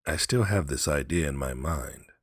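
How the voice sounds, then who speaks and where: A man as very deep-voiced practical friend. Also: moderate pace, breathy edge, no room sound, clean studio sound. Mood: reassuring, urging. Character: practical, persuasive male friend, calm schemer.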